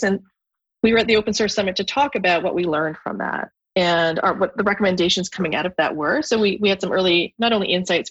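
A woman from Canada, a guest on a podcast, talking thoughtfully in a relaxed conversation, often restarting her sentences. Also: fairly low pitch, breathy edge, lo-fi sound. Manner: thoughtful, with frequent restarts and fillers. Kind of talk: conversational interview.

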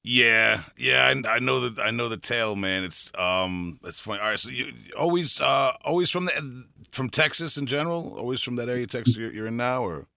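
Chatty male podcast host, bright voice, dry recording. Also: medium pitch, expressive, fairly quick, gravelly, no echo. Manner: friendly, chatty, laughs easily. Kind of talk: casual interview.

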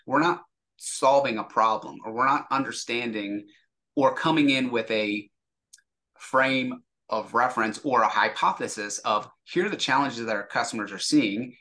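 A man's voice: mid-range voice, pushed and bright. A man speaking as an interview guest, a sales executive explaining things casually and acting out example dialogue.

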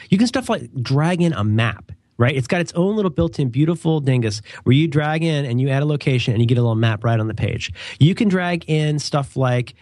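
A man's voice: mid-range voice, darkish tone, no echo. A man with a stuffed-up cold, chatting casually as a podcast co-host in a rambling, digressive, wryly joking way. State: congested with a cold. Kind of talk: casual podcast chat and ad read.

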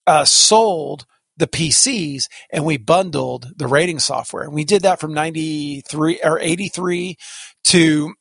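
A man's voice: a little high, fairly bright tone, no room sound, clean studio sound. A man hosting a casual podcast chat, rambling and restarting his sentences often. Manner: rambling, self-interrupting, many restarts. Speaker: podcast host, software company executive.

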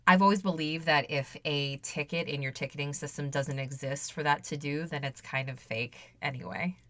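A woman speaking as the host of a podcast, in a conversational and clear-worded way. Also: sits low, moderate pace, fairly bright tone, no room sound. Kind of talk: conversational interview with sponsor read.

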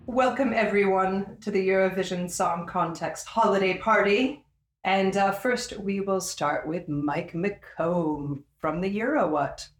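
A man's voice: high-pitched, measured pace. A man hosting a podcast, welcoming guests with upbeat introductions over a remote call mic. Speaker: podcast host. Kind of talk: casual podcast chat.

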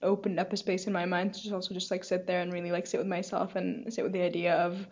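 A woman's voice: fairly low pitch, little pitch movement, fast talker, muffled recording. A young woman hosting a casual podcast interview, effusive and full of fillers. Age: young adult. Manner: warm, effusive, many fillers. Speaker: podcast host.

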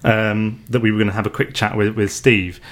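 A man's voice: fairly low pitch, flat delivery, fairly quick. A man chatting on a podcast in a hesitant way, with many ums and restarts. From United Kingdom. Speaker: podcast co-host. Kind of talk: casual podcast chat.

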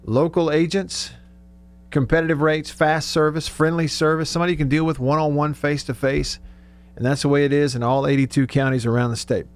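A man's voice: on the high side, flat delivery, a little fast. A man hosting sports radio from a studio in a folksy, rambling, chatty way. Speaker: sports radio show host. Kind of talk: casual chat.

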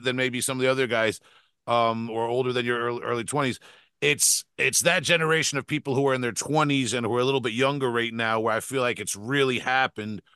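A man's voice: medium pitch, pushed and bright, clean tone, no room sound, studio quality. A man in his forties hosting a casual interview, asking rambling questions with many restarts. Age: 40s. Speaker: podcast host.

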